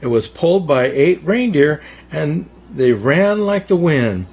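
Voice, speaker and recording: Husky, animated male children's storyteller. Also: a little high, measured pace. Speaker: storyteller, Santa story-time host. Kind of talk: children's storybook read-aloud.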